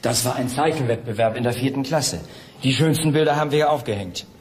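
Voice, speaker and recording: An actor playing a friendly, patient school headmaster who talks indulgently to a child in an audio drama. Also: male voice, medium pitch, quick pace, a little hiss or hum, close to an everyday voice. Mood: amused, indulgent. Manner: kindly, indulgent with a small child.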